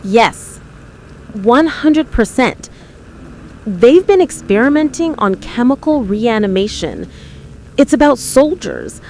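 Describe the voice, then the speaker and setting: A woman playing an earnest, fearful conspiracy-believing radio caller with an American accent, halting and insistent. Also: a little high, a little hiss or hum, rough recording. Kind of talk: dramatised radio call-in testimony.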